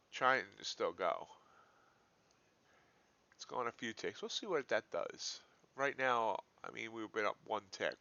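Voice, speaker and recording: Bright, forceful man on a lo-fi livestream. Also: medium pitch, smooth, no echo. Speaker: live-streaming futures trader, host. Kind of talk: casual live trading commentary. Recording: dull, lo-fi livestream audio.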